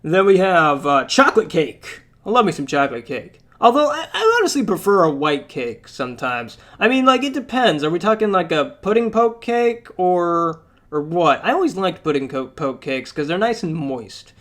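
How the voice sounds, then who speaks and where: A man's voice: high-pitched, sing-song, moderate pace, hardly any pauses, fairly bright tone. A young man chatting casually about a mobile game, rambling with lots of fillers while his voice is going out. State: losing his voice.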